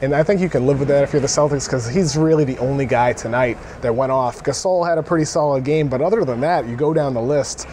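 A man's voice: mid-range voice, quick pace, few pauses, a little mellow. A man giving casual, analytical basketball commentary as a guest on a panel. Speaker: sports writer, panel guest. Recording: faint urban background noise.

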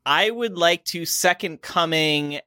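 Bright, higher-pitched male podcast co-host. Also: slow pace, no room sound, clean studio sound. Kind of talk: improvised comedy banter.

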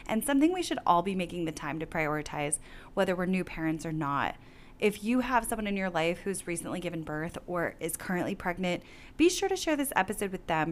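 A woman's voice: lowish voice, slight background noise. A young woman hosting a wellness podcast, effusive and encouraging, recovering from laryngitis. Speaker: podcast host. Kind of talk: casual interview and scripted outro.

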